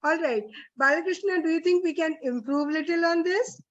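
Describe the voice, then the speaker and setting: A woman's voice: very high voice, fairly quick, highs cut off. A woman coaching a reader's theatre session, polite and encouraging, with many hesitant restarts. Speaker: reading workshop facilitator.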